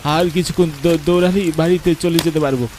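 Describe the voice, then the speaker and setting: Urgent high-voiced young grandson, acted by a man. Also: fairly even intonation, background noise, natural voice. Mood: urgent fear. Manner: urgent, rushed, anxious. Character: frightened young grandson urging his grandmother onward. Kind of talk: cartoon horror acting. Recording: music and rain behind.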